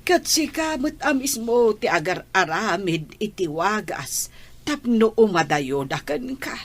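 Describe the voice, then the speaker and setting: A woman playing an older, reproachful mother counselling her grown daughter in a radio drama, measured and admonishing. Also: mid-range voice, big pitch swings. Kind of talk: Ilocano radio drama acting.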